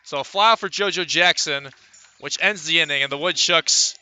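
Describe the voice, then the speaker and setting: A man's voice: fairly high pitch, moderate pace, sharp tone. A man calling a baseball game as a live radio play-by-play announcer.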